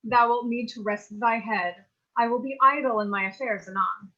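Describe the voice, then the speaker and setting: A woman's voice: on the high side, moderate pace, sharp tone. A woman playing an anxious, bookish teenage girl in a mock-Shakespearean staged reading, speaking earnestly in theatrical archaic language. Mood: serious, scolding. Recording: dull lo-fi sound.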